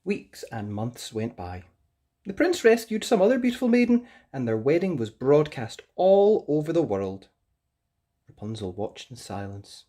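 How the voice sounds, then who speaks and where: A woman narrating a children's fairytale as an expressive storyteller. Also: rumbling low, a little slow.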